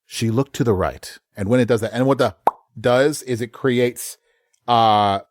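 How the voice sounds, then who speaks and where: A man, a voice actor, chatting casually in an interview and telling stories with lively quick voice changes. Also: medium pitch, no echo, clean studio sound. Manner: animated storyteller, slips into quick voices. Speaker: voice actor guest.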